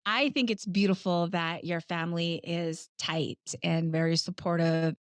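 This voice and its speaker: A woman's voice: lowish voice, fairly even intonation, unhurried, clean tone, dry recording. A woman hosting an interview podcast, friendly and affirming, laughing easily with her guest. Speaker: podcast host. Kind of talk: casual interview chat.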